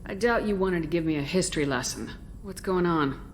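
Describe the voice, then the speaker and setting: A woman's voice: on the low side, expressive, fairly quick, a little hiss or hum. A woman voicing a composed, direct military commander in a video game. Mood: direct, calm. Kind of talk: video game dramatic dialogue.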